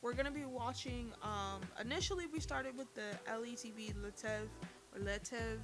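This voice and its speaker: A woman giving a casual, animated recap of a TV drama, with profanity and slang, talking straight to her chat. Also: a little high, moderate pace, music in the background. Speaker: TV series reviewer.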